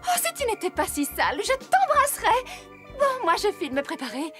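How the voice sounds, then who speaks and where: A woman's voice: extremely high pitch, huge pitch swings, a little fast, exaggerated voice. A woman voicing a vain, melodramatic female pig in a children's cartoon, theatrical and self-admiring. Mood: vain, eager. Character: vain, melodramatic female farm pig, a would-be beauty queen. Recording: loud music behind the voice.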